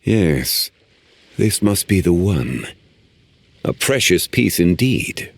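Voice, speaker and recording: An actor voicing a composed, learned man in a video game, speaking formally and with measured care. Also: low-pitched, big pitch swings, vocal fry, exaggerated voice. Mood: calm, courteous. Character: composed ancient scholarly man, formal and unhurried. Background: faint game music.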